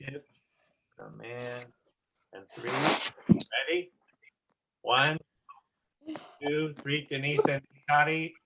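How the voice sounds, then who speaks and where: Very low-voiced woman leading a video call. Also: slow, vocal fry, long pauses, background noise. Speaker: host and teacher of an online children's drama session. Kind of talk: casual group video-call chat.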